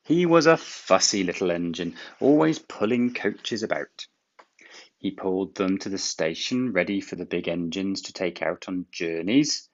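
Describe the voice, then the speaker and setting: A man reading a children's book aloud in expressive storybook narration. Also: sits low, unhurried, lo-fi sound.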